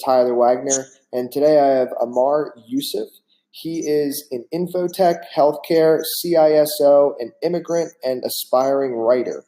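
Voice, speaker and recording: A man's voice: mid-range voice, dark tone, low-quality recording. A man hosting a business interview podcast with a mid-range voice. He reads the guest intro in an upbeat, friendly way and asks questions plainly. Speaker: podcast host.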